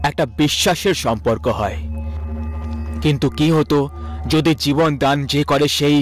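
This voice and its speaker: Male horror story narrator over loud music. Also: fairly high pitch, normal speed.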